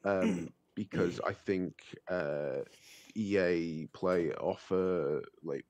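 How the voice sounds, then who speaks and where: A man's voice: low voice, very slow, darkish tone, no echo. A man on a gaming podcast, hesitant, with many ums and restarts. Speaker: podcast guest. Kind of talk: casual chat.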